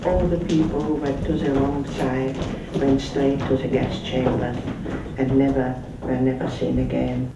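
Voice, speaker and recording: Slow, halting older woman in an echoey room. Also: extremely low pitch, little pitch movement, gravelly, distant mic, highs cut off, very noisy. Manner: slow, halting, with many fillers. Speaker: Holocaust survivor giving testimony. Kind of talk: recorded first-person testimony.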